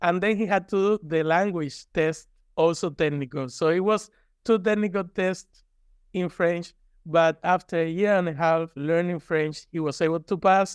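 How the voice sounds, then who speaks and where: Male interview guest, Cuban accent, native Spanish speaker. Clear, smooth tone, candid conversational delivery, dry close recording. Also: on the high side, steady tone, normal speed, no echo. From Cuba. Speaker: guest, university professor.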